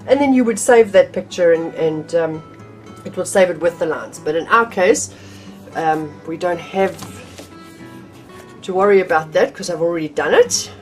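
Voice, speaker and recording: A woman's voice: lowish voice, expressive, normal speed, darkish tone, faint music behind. A middle-aged woman teaching drawing from her home art room, chatty and a little nasal from a cold, with frequent ums and restarts. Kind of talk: casual art tutorial.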